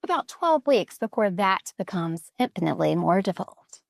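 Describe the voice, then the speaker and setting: An actress as a rich, acid-tongued socialite mother in an audio drama. Her mid-pitched voice has plenty of fry. She is haughty and falsely sweet, with a barb under every endearment. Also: sing-song, normal speed, dry recording, clean studio sound. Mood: coolly coaxing.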